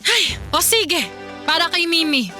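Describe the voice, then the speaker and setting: A woman's voice: very high voice, animated, measured pace, bright, forceful tone, soft background music, heavily acted. An actress playing a kindly but firm middle-aged godmother in a radio drama, protesting with exasperation in a Filipino accent. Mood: grudging, firm. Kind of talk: radio drama acting in a love story podcast.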